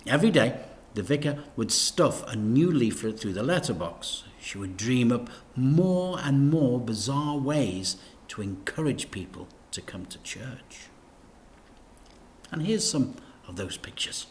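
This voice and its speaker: A man reading a children's story aloud in an expressive, deliberate way with dramatic emphasis. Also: mid-range voice, a little hiss or hum.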